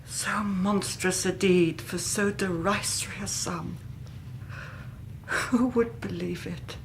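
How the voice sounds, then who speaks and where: A genteel young Victorian Englishwoman, shaken yet quietly resolute, in a radio drama. Her low voice is very slow and halting, with heavy creak at the edges. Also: a little hiss or hum. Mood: shocked disbelief. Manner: halting, formal, hushed delivery.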